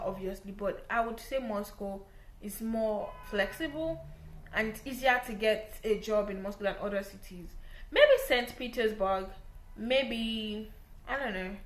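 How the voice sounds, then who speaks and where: A young woman from Nigeria with a Nigerian English accent, chatting casually to camera as she explains things step by step. She repeats her points and often checks in with "right?". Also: a little high. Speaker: vlogger giving visa advice. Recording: roomy echo.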